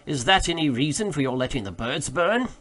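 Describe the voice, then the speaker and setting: A man voicing a proud, eager, easily ruffled gentleman naturalist with emphatic, formal diction in a story reading. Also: a little high, lively intonation, fairly quick. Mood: earnest.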